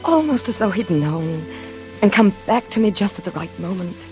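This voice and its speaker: A woman's voice: medium pitch, lively intonation, moderate pace, lightly acted. An actress playing a genteel, nervous, lonely woman with wistful eagerness in a 1940s radio suspense drama. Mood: wistful, confiding. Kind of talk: 1940s radio suspense acting with confiding narration. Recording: vintage radio drama with music behind.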